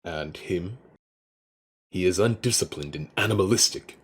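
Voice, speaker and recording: Guy acting big serious warrior dude, super formal and earnest, low husky voice, audio drama. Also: normal speed. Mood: stern. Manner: stiffly formal, solemn.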